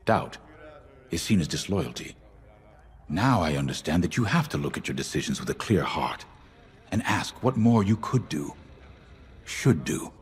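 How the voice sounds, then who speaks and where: A man acting a grave, principled middle-aged rebel fleet commander in a video game, with a measured, solemn, deliberate delivery. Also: deep voice, sing-song, slightly rough, exaggerated voice. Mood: reflective, earnest. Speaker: military commander.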